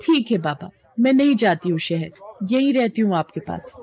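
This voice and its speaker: A woman's voice: mid-range voice, lively intonation, moderate pace, a little mellow, natural voice. A woman voicing a spirited, emotional young female student from a village in a dramatised audio story. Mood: tender, pleading.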